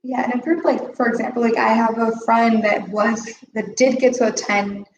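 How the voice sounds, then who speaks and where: A woman's voice: on the high side, fairly even intonation, normal speed, echoey room, a little hiss or hum, rough recording. A young woman guest on a live stream, chatting casually about her studies and saying "like" a lot. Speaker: language student guest.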